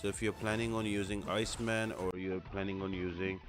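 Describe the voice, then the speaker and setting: A man's voice: low-pitched, flat delivery, a little fast, over music. A man hosting a game guide, explaining tactics in casual walkthrough commentary. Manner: explanatory, instructional. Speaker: gaming guide host.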